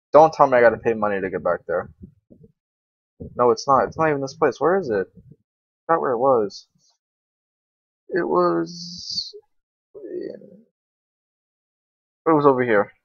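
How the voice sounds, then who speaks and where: Young male gamer, medium pitch, off-the-cuff slangy commentary with swearing, muffled lo-fi mic. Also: expressive, measured pace, long pauses, no echo. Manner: slangy, swearing, rambling to himself. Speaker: gaming video creator. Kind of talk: casual unscripted game commentary. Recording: dull, lo-fi microphone.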